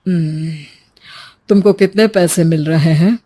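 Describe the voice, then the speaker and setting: A woman voicing a calculating, wealthy middle-aged gentleman in a cartoon story, measured and patronizing. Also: lowish voice.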